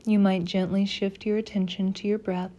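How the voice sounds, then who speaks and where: A woman leading a guided meditation as a scripted practice, speaking softly and gently in deliberate phrases with pauses. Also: medium pitch, flat delivery.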